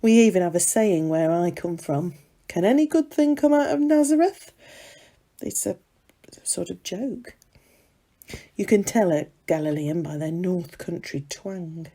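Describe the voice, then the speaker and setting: A woman plays a serving maid from biblical times, telling her story in a confiding, conversational way. Her voice is husky and mellow, set rather low. She pauses for drama like someone passing on servants' gossip in a staged monologue. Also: animated. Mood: storytelling. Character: young gossipy serving maid in ancient Jerusalem, unrepentant, confiding.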